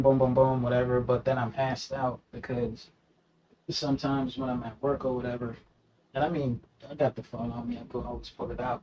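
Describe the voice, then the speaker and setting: A man's voice: mid-range voice, darkish tone, rough recording. A man chatting casually in an interview, laid-back and slangy, laughing often and filling with "you know what I mean.". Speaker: rapper guest.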